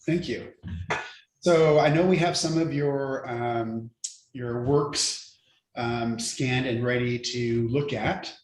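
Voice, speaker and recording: A male host welcomes a guest over a video call, his middle-pitched voice echoing in a roomy space. He talks in a friendly, hesitant way, filling pauses with ums. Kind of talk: casual interview chat.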